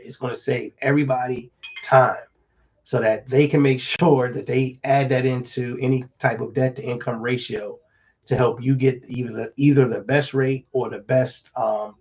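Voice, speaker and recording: Slightly hoarse man, casual advice. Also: mid-range voice, muffled recording. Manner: relaxed, conversational, many fillers and restarts. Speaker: real estate agent. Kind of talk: casual live-stream advice talk.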